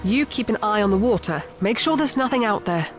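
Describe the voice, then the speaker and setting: An actress playing a practical, wary boat-handler in an audio drama, cautious and downbeat in manner. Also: female voice, mid-range voice, lively intonation, fairly quick, soft background music, natural voice. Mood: grim resignation.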